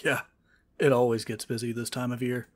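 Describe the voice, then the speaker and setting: Man as a skeptical, mid-pitched young guy. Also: little pitch movement, moderate pace, lightly acted. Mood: matter-of-fact. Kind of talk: audio drama acting, casual chat.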